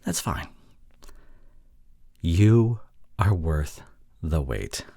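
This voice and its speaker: A man playing an apologetic, insecure boyfriend in an intimate audio drama, speaking haltingly with sighs and breathy murmurs. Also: low-pitched, very animated, heavy background noise, heavily acted. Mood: stubborn, pleading. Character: apologetic, pleading long-distance boyfriend, insecure young man. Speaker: audio roleplay voice actor.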